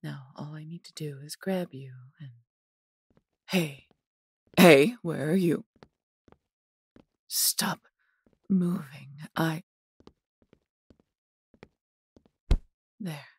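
A woman voicing a possessive, haughty yet doting female dragon in an intimate audio roleplay, condescending and theatrical, breathy and husky, often dropping to a whisper. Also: low-pitched, moderate pace, hardly any pauses, darkish tone, no echo, studio quality, exaggerated voice. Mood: firm, condescending. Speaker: voice actor.

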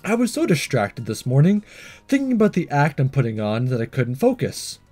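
A man's voice: medium pitch, big pitch swings, moderate pace, darkish tone, soft background music. A man reading a visual novel aloud as a self-conscious, earnest teenage schoolboy voicing his fretful inner thoughts. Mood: anxious, pensive.

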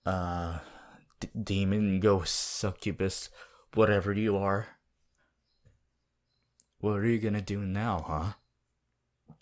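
A man playing a laid-back, teasing, sceptical teenage anime boy in an intimate, halting ASMR roleplay. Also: fairly low pitch, steady tone. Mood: teasing, challenging. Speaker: voice actor.

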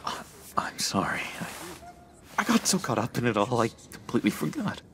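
An actor playing an eager, unhinged young schemer in a video game, halting and wheedling with sinister warmth. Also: male voice, mid-range voice, sing-song, a little hiss or hum. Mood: gleeful. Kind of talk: video game character acting.

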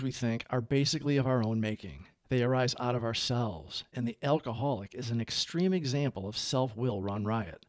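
A man narrating a book aloud as a reader, with measured, clearly phrased delivery. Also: mid-range voice, a little mellow, no room sound, clean studio sound. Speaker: audiobook reader.

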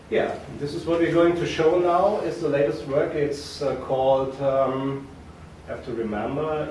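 A man's voice: a little high, a little mellow, slight background noise. A middle-aged man with a German accent, casually introducing his art performance with many fillers and restarts. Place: large art space, indoors. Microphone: far camera. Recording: very echoey room from far off.

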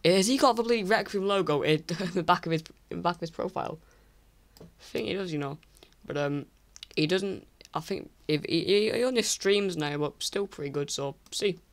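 A teenage boy from England ranking online creators in casual screen-recorded commentary, rambling and apologetic with many restarts, his voice croaky from recording late at night. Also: high voice, normal speed. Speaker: YouTuber. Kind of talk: casual tier list commentary.

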